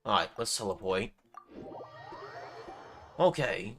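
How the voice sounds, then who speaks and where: A man's voice: lowish voice, expressive, slow, a bit bright. A man livestreaming a game, casually commenting and reading lines out. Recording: faint game music behind.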